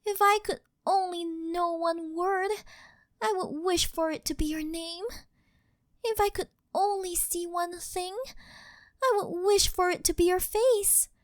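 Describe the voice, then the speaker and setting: A woman's voice: very high-pitched, fairly even intonation, unhurried, dry recording, clean studio sound. A woman voicing a possessive, worshipful living doll maid in an intimate ASMR roleplay, speaking in a formal, fawning, melodramatic way. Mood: adoring. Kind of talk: scripted ASMR roleplay, intimate monologue to the listener.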